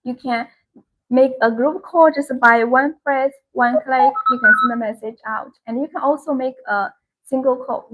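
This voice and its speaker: High-pitched woman, live-stream seller, product demonstration, instructional, repeats key points. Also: poor audio. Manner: repetitive, instructional presenting.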